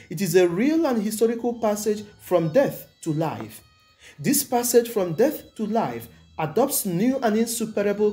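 Male priest, scripted homily, solemn formal delivery, soft mellow tone, animated pitch movement, music underneath. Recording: music behind the voice. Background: background music.

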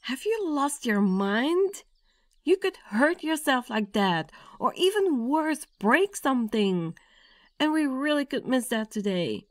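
A woman's voice: high-pitched, sing-song, slow, no room sound, clean studio sound, full character voice. A woman playing a nervous, affectionate young bride-to-be in an ASMR girlfriend roleplay, with a tender, intimate delivery. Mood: alarmed, scolding. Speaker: roleplay audio performer.

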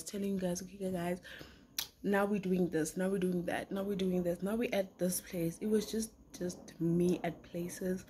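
A woman's voice: mid-range voice, slight background noise. A woman in her twenties, a pastry chef student, chatting casually to her phone camera at home in a rambling way with lots of fillers. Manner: chatty, rambling, with frequent fillers and soft asides. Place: small indoor room at home. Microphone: phone camera at arm's length.

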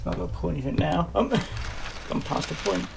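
Croaky man chatting over clicks. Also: on the high side, sing-song, normal speed. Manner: chatty, self-deprecating, trailing off with ums. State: croaky from a sore throat. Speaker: model railway hobbyist vlogger. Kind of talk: casual narration while working. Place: indoors in a small room, bedroom layout. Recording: noisy home camera recording.

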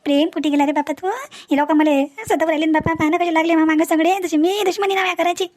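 A very high voice, chattering quickly, belongs to a put-upon cartoon mother acted by a woman. She complains and defends herself in rustic Varhadi Marathi, repeating her grievances in a self-pitying way. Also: little pitch movement, a little mellow. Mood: self-pitying, defensive. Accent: Varhadi Marathi from Vidarbha, Maharashtra. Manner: complaining, repetitive rustic wailing talk. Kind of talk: cartoon family comedy dialogue.

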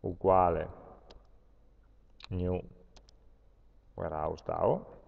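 An Italian man teaching a programming class, thinking aloud as he types code, with frequent pauses and fillers. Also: deep voice, gravelly. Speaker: university lecturer. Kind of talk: live-coding programming lesson. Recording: dull lo-fi lecture capture with long hall reverb.

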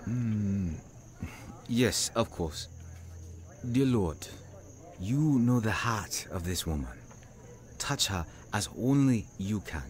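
A man's voice: on the low side, lively intonation, slow pace, long pauses. An actor playing an earnest, weary evangelist who tells his life story in a radio drama. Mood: gentle, weary. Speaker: actor narrating and playing a preacher. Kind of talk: radio drama acting and first-person narration.

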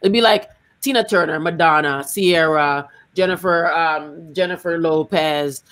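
A woman's voice: sits low, unhurried, fairly bright tone. A middle-aged woman from Canada, chatting emphatically as she hosts a music workshop over a video call from a home room. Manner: chatty and emphatic, talks with her hands. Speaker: singer hosting a music workshop. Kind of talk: casual video-call chat. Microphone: studio microphone on a video call.

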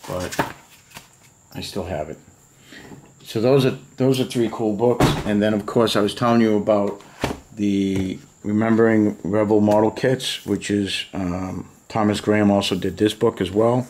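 Middle-aged man, very low voice, loose rambling show-and-tell, faint hiss behind. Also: fairly even intonation, unhurried, darkish tone. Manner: rambling, musing aloud, many fillers. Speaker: model kit collector showing books. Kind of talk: casual show-and-tell vlog. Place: home room full of model kit boxes.

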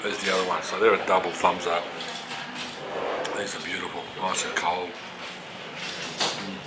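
A middle-aged man talks easily into a camera held at arm's length. His voice sits somewhat low and his tone is relaxed and friendly. Loud noise from the street and the rooms runs behind him. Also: moderate pace. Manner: relaxed, chatty, recommending food and places. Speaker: travel vlogger. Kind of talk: casual travel vlog. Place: cafe, street and hotel lobby. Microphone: handheld camera at arm's length.

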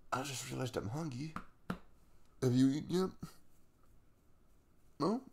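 A man's voice: on the low side, vocal fry, a little mellow, breathy edge, exaggerated voice. A man acting an affectionate, earnest, chatty young crush in an intimate ASMR roleplay, rambling playfully in a casual way. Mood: relaxed, friendly.

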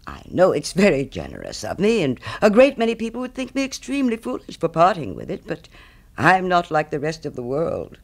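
A man's voice: fairly high pitch, huge pitch swings, a little fast, vocal fry, exaggerated voice. A man voicing a smug, selfish miller who preaches friendship in a pompous, moralizing way, as a storybook character. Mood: self-satisfied. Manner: pompous, self-righteous, moralizing.

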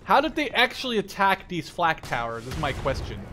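A man in his twenties giving casual, rambling, sweary gameplay commentary in an excitable way. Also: high voice, normal speed, slight background noise. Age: 20s. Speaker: gamer, video host. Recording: loud game gunfire behind the voice. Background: gunfire and machine guns from game.

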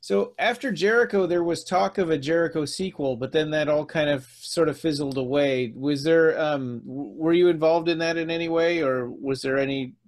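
A man's voice: a little high, moderate pace, few pauses. A man in his forties chatting casually as a podcast interviewer, on a headset over a video call from home.

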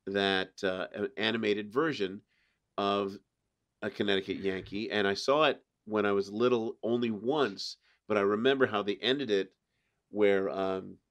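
A middle-aged man with a somewhat low voice hosts a podcast. He talks casually, sharing his opinions in an informal review chat. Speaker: podcast host.

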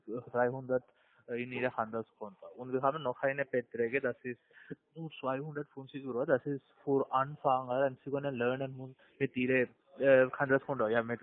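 A man with a foreign accent giving a halting telemarketing pitch in broken grammar. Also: level tone. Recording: tinny narrow phone line.

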